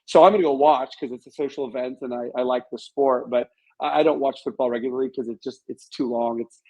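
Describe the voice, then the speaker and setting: A man's voice: medium pitch, fast talker, gravelly, a little mellow, muffled recording. A middle-aged American man speaking as a guest in a casual interview, in an emphatic, opinionated way.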